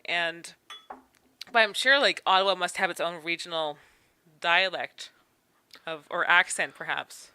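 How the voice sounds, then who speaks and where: A woman co-hosting a casual beer podcast, chatting in an animated way with frequent restarts. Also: on the low side, unhurried, pauses often, smooth, no room sound. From Canada. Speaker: podcast co-host. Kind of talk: casual chat.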